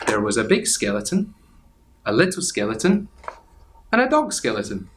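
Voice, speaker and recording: A man reading a children's picture book aloud as a teacher would, expressive and suspenseful, drawing out repeated phrases. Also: mid-range voice. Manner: theatrical, suspenseful repetition with drawn-out phrases. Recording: clear background noise.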